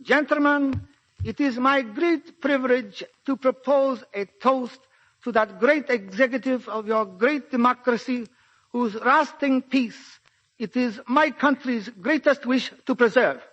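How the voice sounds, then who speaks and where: An actor playing a ceremonious Japanese diplomat, speaking formally and solemnly in a 1940s radio drama. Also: male voice, very high-pitched, a little slow, fairly bright tone, heavily acted. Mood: solemn, courtly. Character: smooth, ceremonious Japanese diplomat envoy proclaiming peace. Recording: muffled 1940s radio broadcast recording.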